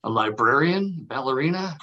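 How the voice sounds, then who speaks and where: Bright forceful voice, guy reading as a courteous cautious actor, mid pitch, bit hesitant. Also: lively intonation, normal speed, lo-fi sound. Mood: teasing. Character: polite, cautious male film actor. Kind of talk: table read acting.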